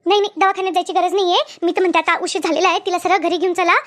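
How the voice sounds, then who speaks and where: A woman voicing an excitable, easily frightened village woman in a cartoon comedy, with a rural Maharashtrian accent and a chattery, repetitive way of talking. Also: very high voice, rapid-fire. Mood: worried. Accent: rural Maharashtrian Marathi.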